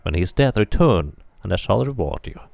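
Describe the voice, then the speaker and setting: A man's voice: lowish voice, big pitch swings, brisk, creaky, darkish tone, dry recording. A man voicing a commanding female gang leader who speaks formally and coolly. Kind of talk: video game character reading.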